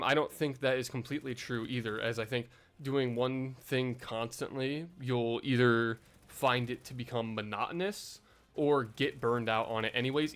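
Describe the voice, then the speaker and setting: A man's voice: medium pitch, fairly bright tone. A young man vlogging in a casual, rambling way, full of fillers and self-deprecating asides.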